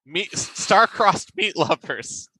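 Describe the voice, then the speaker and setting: A man's voice: sits high, sing-song, takes it slowly, bright, forceful tone, slightly rough, poor audio. A man improvising a screenwriter in a comedy scene, flustered and stammering with nerves.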